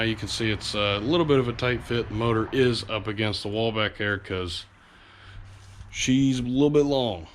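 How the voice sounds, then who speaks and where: A man's voice: on the low side, a little hiss or hum. Sniffly man with a cold, casual vlog. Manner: relaxed, conversational, with fillers. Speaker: outdoors channel host. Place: home garage.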